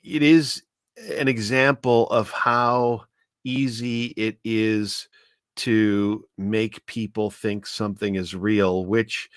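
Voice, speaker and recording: Male guest commentator, fairly low pitch, earnest and careful explaining, halting phrasing, dry recording. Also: fairly even intonation, clear, resonant tone, no echo. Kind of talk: conversational discussion.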